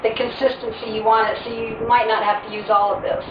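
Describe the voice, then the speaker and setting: A woman's voice: a little high, flat delivery, a little fast. A middle-aged woman hosting a home cooking video, chatting casually and making self-deprecating asides as she works. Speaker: home cooking show host. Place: home kitchen, indoors. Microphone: fixed camera across the room. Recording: echoey, distant, dull, noisy home video.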